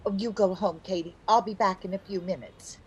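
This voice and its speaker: A mid-pitched, theatrical housewife from a radio comedy acted by a woman. She is chatty, scheming and excitable, delivering her lines in old-time radio style. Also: slightly rough, faint music behind, poor audio. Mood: flustered. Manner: theatrical, quick to jump to conclusions.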